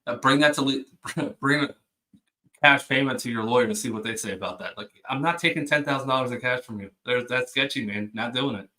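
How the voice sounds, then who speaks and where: A laid-back man hosting a stream who explains things in casual chat. His voice is bright and forward, sitting at an average pitch for a man. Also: a little fast. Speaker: stream host.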